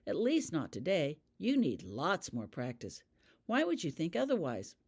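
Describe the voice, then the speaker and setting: A woman's voice: low voice, wildly swooping pitch, measured pace, a little mellow, slightly rough, exaggerated voice. A woman voicing a confident, frank teenage schoolboy wizard in an audiobook. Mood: confident, puzzled. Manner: frank, self-assured.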